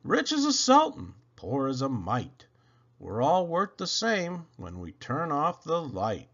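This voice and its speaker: A man reading children's poetry aloud in an animated, theatrical way with drawn-out phrasing. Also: fairly high pitch, lo-fi sound. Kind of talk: expressive read-aloud of children's verse.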